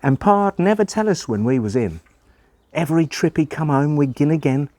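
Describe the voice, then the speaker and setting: A man's voice: fairly high pitch, lively intonation, normal speed, a little mellow, no room sound, pitched above the performer's own voice, lightly acted. A man voicing a folksy country child reciting a family story in rustic dialect. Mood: eager. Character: folksy country child telling about a beloved Pa. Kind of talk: dramatic poem recitation.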